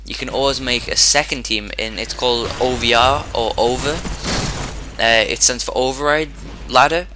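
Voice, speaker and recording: A man with a hoarse, sore-throated voice giving casual commentary over his own gameplay, rambling and often restarting his sentences. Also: mid-range voice, normal speed, background noise. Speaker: gaming commentator.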